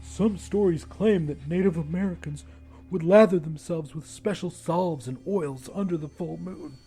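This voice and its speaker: A man's voice: on the high side, moderate pace, dark tone, soft background music, lightly acted. Man acting a panicked, whiny, foul-mouthed coward. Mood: shaken, hushed. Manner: whiny, frantic, sobbing, hissing.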